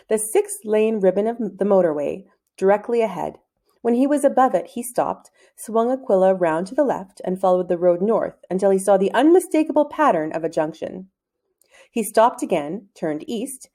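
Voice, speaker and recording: Mellow woman reading a children's story. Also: mid-range voice. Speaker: reader of a children's novel. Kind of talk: read-aloud storytelling narration.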